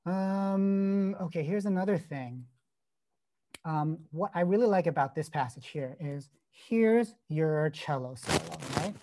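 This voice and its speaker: A man's voice: a little high, measured pace, mellow, a little hiss or hum. A man critiquing a student's score in an online composition masterclass, talking casually with many fillers and "right?" checks. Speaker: composition teacher giving feedback. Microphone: video call.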